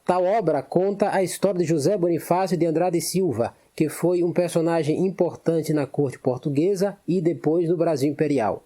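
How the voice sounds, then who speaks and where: A man reading a prepared cultural segment for a radio show in a steady, formal delivery. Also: on the high side, moderate pace, hardly any pauses, faint music behind. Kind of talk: scripted reading.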